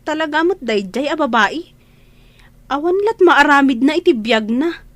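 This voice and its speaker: Mapanghusgang hipag na Ilokana, matinis. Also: female voice, high voice, expressive, normal speed, no room sound. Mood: indignant, scornful. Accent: Ilocano. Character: indignant, scornful gossiping younger sister-in-law. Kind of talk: Ilocano radio drama acting.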